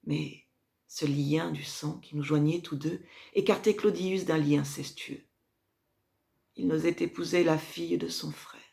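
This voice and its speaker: A woman playing a proud, scheming Roman empress mother who reproaches her son in a measured, declamatory French classical verse tragedy monologue, moving between hushed drops and emphatic swells. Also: sits low, creaky, full character voice.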